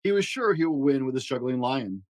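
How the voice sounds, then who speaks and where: A man's voice: mid-range voice, expressive, fairly quick, darkish tone, no echo. Man reading a storybook aloud, dry sound. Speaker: storyteller and host. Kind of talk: children's storybook narration.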